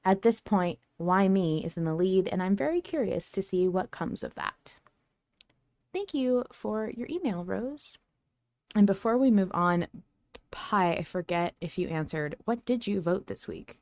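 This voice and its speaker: A woman's voice: mid-range voice, animated, a little slow, darkish tone, dry recording, clean studio sound. A woman hosting a casual fan podcast, talking in an effusive, gushing way. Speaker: podcast host.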